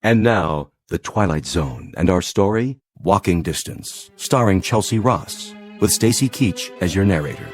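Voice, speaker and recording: A man's voice: low-pitched, expressive, a little slow, few pauses. A man formally announcing a radio drama in a scripted, presentational style. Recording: music under the voice.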